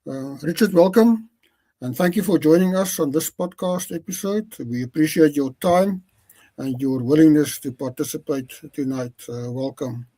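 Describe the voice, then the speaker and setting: A man's voice: a little high, warm tone, low-quality recording. A man hosting a podcast interview, speaking formally and politely with frequent hesitations. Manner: polite, halting with many fillers. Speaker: podcast host.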